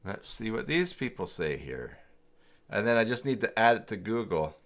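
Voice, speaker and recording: Male tutorial streamer, deep register, informal and halting, talks to himself and reads off the screen, often trailing off. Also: sing-song. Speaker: livestream host working through website email setup. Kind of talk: casual livestream tutorial, thinking aloud and reading from screen.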